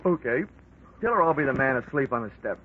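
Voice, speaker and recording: A man's voice: mid-range voice, animated, quick pace. A man playing a wisecracking insurance investigator who narrates a 1940s radio detective drama in a dry manner. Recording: lo-fi old radio recording with music.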